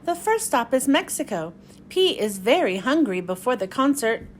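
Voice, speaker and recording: A woman host reading a storybook aloud for children in an expressive way. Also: sits high, moderate pace, a little hiss or hum. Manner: expressive, clearly addressed to young children.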